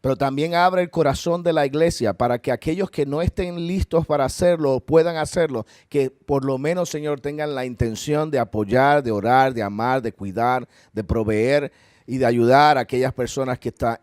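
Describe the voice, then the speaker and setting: A man's voice: mid-range voice, normal speed, a little mellow. A man with a Puerto Rican accent preaches in a church hall, speaking earnestly to his congregation with friendly asides. His voice sits in an ordinary middle register, and the recording is dry, with little room sound. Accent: Puerto Rican Spanish. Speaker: pastor. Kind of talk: church talk and closing prayer.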